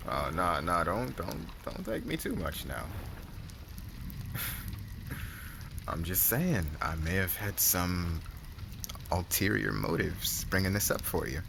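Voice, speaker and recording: A man playing a cocky, affectionate young warrior husband in an intimate ASMR roleplay, teasing casually with murmured endearments and a gravelly voice. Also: sits low, animated, takes it slowly, pauses often, noisy background, exaggerated voice.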